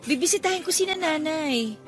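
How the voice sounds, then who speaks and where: A woman's voice: high voice, lively intonation, a little fast, soft background music. A woman playing a trusting, affectionate girlfriend in a radio drama, with a conversational, questioning way of talking. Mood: light, teasing. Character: trusting, affectionate young girlfriend, easily hurt. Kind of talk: Tagalog radio drama acting.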